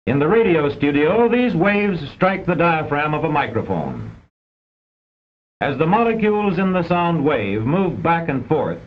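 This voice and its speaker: High-pitched American man, vintage film narrator. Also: a little hiss or hum. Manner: measured, didactic, precise enunciation. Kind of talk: formal scripted narration of a vintage instructional film. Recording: dull, band-limited old film soundtrack.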